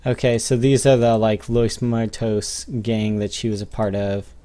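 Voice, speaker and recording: A man's voice: fairly low pitch, fairly even intonation, a little slow, mellow. Young man hosting a gaming video. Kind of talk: casual game commentary.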